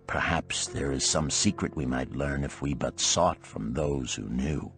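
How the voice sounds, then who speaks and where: A man's voice: very deep voice, a little slow, vocal fry, a bit bright, soft background music, pitched below the performer's own voice, exaggerated voice. A man voicing a weary, thoughtful chariot builder of ancient Babylon in a storybook parable, with brooding, archaic phrasing.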